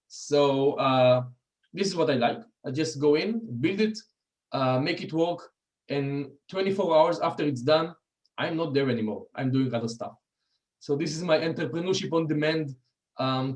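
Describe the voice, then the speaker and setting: A middle-aged man with an Israeli accent, giving an informal talk with slides in a halting way, with many pauses and restarts. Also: on the high side, rough recording. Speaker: guest speaker.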